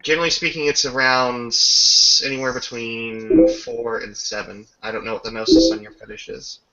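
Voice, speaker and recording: A man runs a tabletop roleplaying game, improvising scenes and calling for rolls with pauses mid-sentence. His voice is creaky with fry and has a bright, tense edge. The sound is slightly dull, as on an online voice chat. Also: mid-range voice, expressive. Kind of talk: improvised game narration and rules talk.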